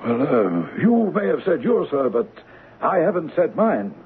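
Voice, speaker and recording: An actor playing a persuasive swindler with a theatrical way of talking in a 1970s radio drama. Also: male voice, a little high, very animated, unhurried. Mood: smooth, persuasive. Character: smooth-talking swindler, persuasive, grandiose schemer. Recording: noisy, tinny 1970s radio recording.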